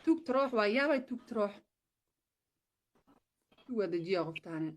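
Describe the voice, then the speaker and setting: A woman's voice: mid-range voice, expressive, measured pace. A woman from Somalia, chatting casually on a livestream, switching languages and thanking viewers for gifts. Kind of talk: casual live-stream chat.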